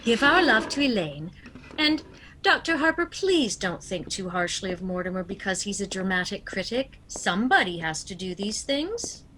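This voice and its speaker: An actress playing a sweet, doting elderly spinster aunt in an amateur audio drama. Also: female voice, medium pitch, very animated, normal speed, sharp tone, a little hiss or hum, low-quality recording.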